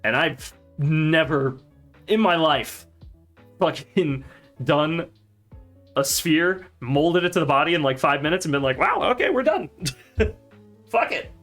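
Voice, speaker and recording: A man's voice: on the high side, expressive, slow pace, pauses often, a bit bright, faint music behind. A man hosting a casual stream, rambling and laughing while he works on a 3D model, with casual swearing. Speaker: streamer and podcast host.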